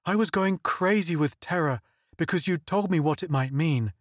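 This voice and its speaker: A man's voice: a little high, normal speed, no echo, clean studio sound, close to an everyday voice. A man voicing a frightened, devoted young woman who pleads through tears in an audiobook. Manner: pleading, tearful. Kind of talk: audiobook character voice.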